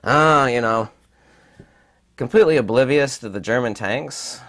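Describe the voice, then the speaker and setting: A man with a raspy, hoarse voice while recovering from illness, giving rambling, emphatic commentary with many fillers. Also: mid-range voice, a little slow, fairly bright tone. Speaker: channel host. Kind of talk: casual commentary to camera.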